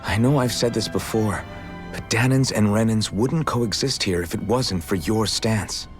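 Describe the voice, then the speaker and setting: A man plays a kind young male adventurer in a game, fairly low in pitch. He sounds steady, plain-spoken and reassuring over light music. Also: unhurried, close to an everyday voice. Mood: reasonable. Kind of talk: video game voice acting. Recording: music under the voice.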